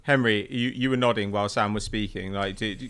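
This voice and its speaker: A man's voice: lowish voice, fairly even intonation, brisk, fairly bright tone, clear, resonant tone. A man hosting a sports podcast, articulate and conversational as he steers the discussion with questions. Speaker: podcast host.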